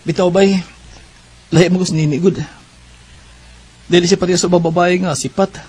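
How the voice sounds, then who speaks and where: A man's voice: high voice, level tone, moderate pace, a little mellow, slightly rough. A Filipino man with a Cebuano accent acting an earnest, devoted young suitor in a radio drama, emphatic in his delivery. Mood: sincere.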